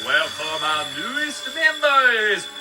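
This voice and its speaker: A man voicing a pompous villain cult leader in a parody cartoon dub, with a theatrical, drawn-out delivery and slips into slang. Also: high-pitched, sing-song, over music, heavily acted. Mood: grandiose.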